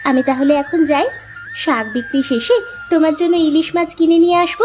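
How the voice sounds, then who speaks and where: A woman's voice: high voice, a little fast, a little mellow, soft background music, close to an everyday voice. A woman voicing a caring, dutiful young village daughter in an earnest, simple way for a moral-story cartoon.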